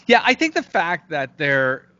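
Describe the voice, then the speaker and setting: A man's voice: on the high side, huge pitch swings, unhurried, bright, forceful tone. A man giving a casual interview as a guest, explaining his creative work in a hesitant, thinking-aloud way. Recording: dull, lo-fi call audio.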